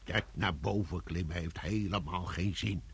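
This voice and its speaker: A man's voice: measured pace, heavily acted. An actor playing a coarse, cynical and resigned workman in a radio play. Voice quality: deep, gravelly.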